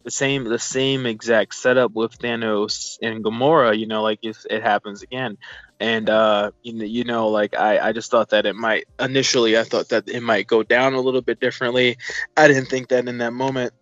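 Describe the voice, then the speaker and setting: A man's voice: medium pitch, level tone, normal speed, a bit bright, no room sound. A man casually discussing a film, hesitant and rambling, often circling back over his phrases. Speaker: film review co-commentator. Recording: dull sound with music behind.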